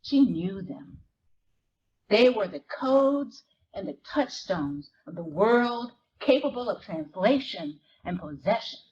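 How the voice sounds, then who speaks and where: A woman reading literary fiction dramatically, in measured, deliberate phrases with weighted pauses. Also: fairly high pitch, lo-fi sound, a little hiss or hum. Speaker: dramatic reader at a library performance. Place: live reading at a library event.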